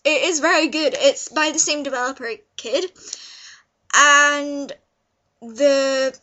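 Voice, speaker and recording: Young female vlogger, notably high and bright voice, informal rambling enthusiasm for a casual review. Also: fairly even intonation, takes it slowly, highs cut off. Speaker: vlogger reviewing visual novels. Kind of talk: casual review chat to camera.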